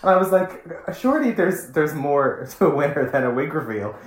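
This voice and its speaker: A man's voice: on the high side, sing-song. Man in a roomy echo. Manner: halting, repeats words when starting. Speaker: podcast co-host. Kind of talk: casual chat.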